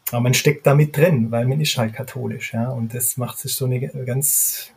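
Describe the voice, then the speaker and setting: A man speaking as a podcast guest, measured and reflective in tone. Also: medium pitch, steady tone, vocal fry, darkish tone, slight background noise. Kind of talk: casual podcast conversation.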